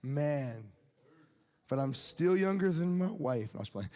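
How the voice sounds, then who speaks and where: A man's voice: fairly high pitch, expressive, a little slow, darkish tone, in a large hall. A man in his late twenties preaching a sermon, speaking candidly and conversationally, with laughs and asides to the crowd. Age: 20s.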